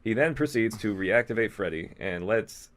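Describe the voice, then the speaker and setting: A man's voice: lowish voice, expressive, moderate pace, fairly bright tone. A man hosting a comedic read-aloud of fan fiction, slipping in mocking asides and ad-libbed jokes. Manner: mocking, ad-libs jokes while reading.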